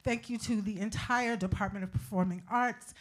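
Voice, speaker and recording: A woman's voice: mid-range voice, fairly even intonation, normal speed. A woman giving a thank-you speech to a live audience, calling out each name emphatically. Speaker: playwright and community engagement fellow thanking collaborators. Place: live event space before an audience. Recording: noisy live room with loud crowd.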